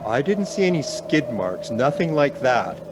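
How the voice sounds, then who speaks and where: A man's voice: mid-range voice, big pitch swings, unhurried, noisy background. A man acting in a radio play as a reassuring, sceptical professor, formal and understated. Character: reassuring, sceptical male professor, measured and dry.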